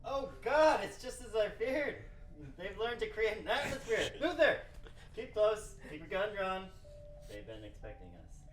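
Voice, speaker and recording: A man's voice: high-pitched, very animated, measured pace, faint music behind. A man acting a grim, bossy male scientist in an amateur radio play, giving terse, urgent, melodramatic orders. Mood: alarmed. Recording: roomy, distant from microphone.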